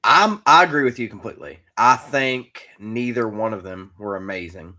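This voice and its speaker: A man co-hosting a talk podcast, sharing casual opinions in a measured, explanatory way. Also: lowish voice, animated, bright, forceful tone. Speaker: podcast co-host.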